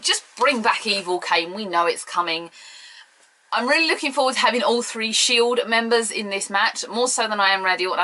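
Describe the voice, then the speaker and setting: A young British woman chatting excitedly to camera, giving opinionated and playfully teasing wrestling predictions. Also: mid-range voice, low-quality recording. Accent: British English. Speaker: wrestling preview vlogger. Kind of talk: casual opinionated chat to camera.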